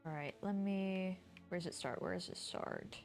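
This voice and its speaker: A woman's voice: lowish voice, big pitch swings, moderate pace, over music. A woman game streamer giving casual, chatty commentary and thinking aloud as she plays.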